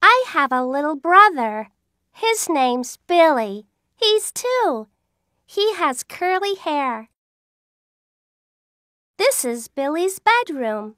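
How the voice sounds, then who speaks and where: A cheerful little schoolgirl in a kids' cartoon, with a very high, bright voice that bounces up and down. She speaks slowly and clearly, in a dry studio sound. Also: very animated, no room sound, full character voice.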